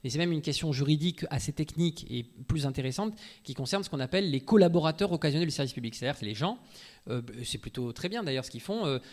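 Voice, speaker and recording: A man giving an informal talk as a guest legal expert, explaining and arguing his view with frequent asides and self-interruptions. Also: medium pitch. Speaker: guest speaker, law researcher. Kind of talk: informal talk answering audience questions.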